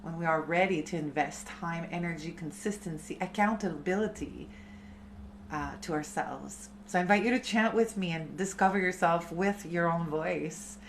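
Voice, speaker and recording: A woman in her thirties, a chanting teacher, talking softly and unhurriedly to her live-stream community. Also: on the low side, a little hiss or hum. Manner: slow, soft, unhurried spiritual talk.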